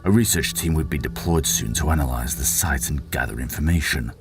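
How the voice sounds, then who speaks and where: A man's voice: a bass voice, brisk. A man narrating a fiction audiobook in a dramatic, scripted storytelling style. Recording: voice over prominent background music.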